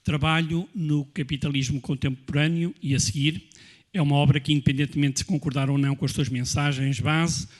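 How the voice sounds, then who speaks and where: Higher-voiced man introducing a speaker. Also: fairly even intonation, quick pace. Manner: formal, reading a prepared introduction. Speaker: session moderator.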